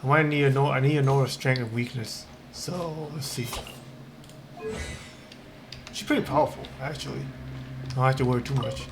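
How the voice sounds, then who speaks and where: A male game streamer talks casually in a mid-pitched voice, thinking aloud in halting phrases. Clear background noise from the game sits behind his commentary. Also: steady tone. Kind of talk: casual let's-play commentary. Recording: noisy mic, game audio behind.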